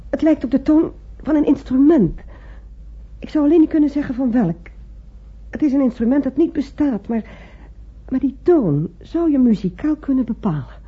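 A woman's voice: high voice, expressive, normal speed. An actress playing an anxious, insistent female patient in a radio drama, speaking earnestly. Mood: searching, eager. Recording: muffled old radio recording.

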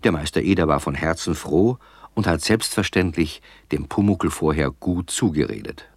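Narrator for children's radio story: man, very low voice, dry studio sound, measured scripted delivery. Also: expressive, husky, no room sound.

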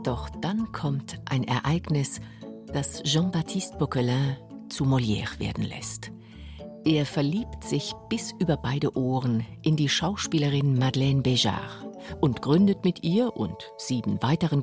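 A man narrating a scripted radio documentary in a measured storytelling way. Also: mid-range voice. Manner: measured, clear storytelling delivery. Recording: dry studio with faint music.

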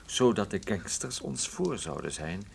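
A man's voice: lowish voice, sing-song, unhurried, vocal fry, a little hiss or hum, heavily acted. Een man die in een hoorspel een droge, sardonische en onbuigzame privédetective speelt. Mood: explaining. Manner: dry, sardonic. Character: wry, unyielding male private detective confronting a criminal. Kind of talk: radio drama acting.

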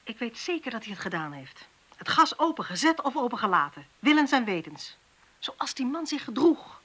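A curt, probing official. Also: female voice, a little high, very animated, moderate pace, fairly bright tone. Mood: blunt. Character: brisk, probing female official. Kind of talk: radio drama acting.

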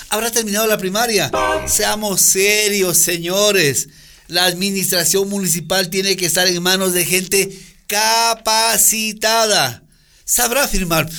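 A man's voice: sits high, sharp tone, exaggerated voice. A male actor playing a scornful, racist demagogue in a radio drama, mocking and rhetorical, drawing out his words. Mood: scornful outrage. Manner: mocking, rhetorical, drawn-out emphasis. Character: sneering racist right-wing male opponent, scornful demagogue.

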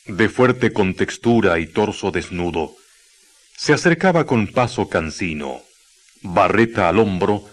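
A man narrating a radio drama in a solemn, literary, dramatic manner. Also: on the low side.

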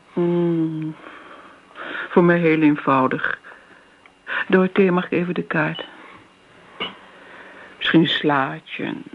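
A woman's voice: low voice, fairly even intonation, slow pace, long pauses, exaggerated voice. A fussy eager older lady in a radio play, hesitant and gushing, bit muffled old recording. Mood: flustered. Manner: effusive, hesitant, stammering. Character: flustered, eager-to-please older woman, forgetful old friend.

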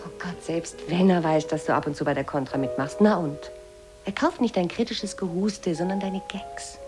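Low-voiced, frank woman played by actress. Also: moderate pace, music in the background, close to an everyday voice. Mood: exasperated, reassuring. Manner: direct, reasoning. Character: sensible, frank female media professional, sceptical. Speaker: actor. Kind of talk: 1980s German radio drama acting.

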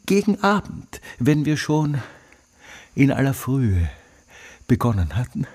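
An actor playing an obsessive, tormented scholar in a radio play monologue, speaking emphatically and haltingly, repeating himself. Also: male voice, medium pitch, very animated, a little mellow, breathy edge, no echo, heavily acted. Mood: pedantic, self-absorbed. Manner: emphatic, repetitive, halting delivery with drawn-out words. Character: obsessive, tormented scholar consumed by his life's study.

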